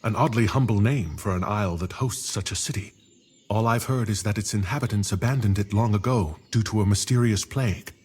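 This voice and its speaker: An actor playing a wise, loyal, cautious druid in a fantasy game, with a husky voice and a calm, formal advisory manner. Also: male voice, lowish voice, brisk. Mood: cautious, advising. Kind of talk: fantasy game cutscene acting.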